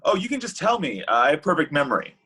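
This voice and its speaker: A man's voice: a little high, sing-song, quick pace, pushed and bright, husky, full character voice. A man reading the part of a flippant, evasive, bitter thirty-year-old Cupid at a comedy table read. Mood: breezy, evasive.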